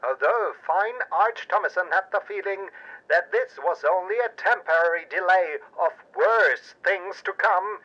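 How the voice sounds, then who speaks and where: A man narrating a pulp audio drama in a theatrical, suspenseful and deliberate way. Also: high voice, sharp tone, muffled recording. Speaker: narrator and storyteller.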